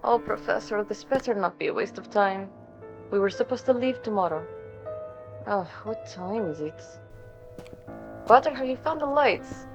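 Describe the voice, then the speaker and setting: A woman playing a fastidious, exasperated investigator in a narrative audio drama, giving orders and musing aloud to herself. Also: medium pitch, animated, dull audio, over music, lightly acted.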